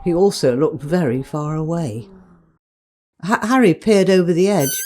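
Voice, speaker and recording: A woman narrating a children's bedtime story in an expressive, playful storytelling manner. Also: fairly low pitch, unhurried.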